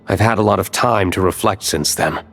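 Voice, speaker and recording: An aloof, brooding fantasy game nobleman in a low voice. He sounds serious and reserved, giving little away, over faint music. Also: normal speed, creaky, a bit bright. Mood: resolute, serious. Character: stoic, guarded, brooding nobleman, aloof former knight. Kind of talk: video game voice acting. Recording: background music under the voice.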